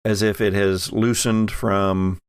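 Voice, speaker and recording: Guy game mastering a horror rpg, real slow and halting, lots of vocal fry. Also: fairly low pitch, little pitch movement, no room sound, studio quality. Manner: halting, pausing mid-phrase to find words. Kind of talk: improvised scene narration for a horror tabletop game.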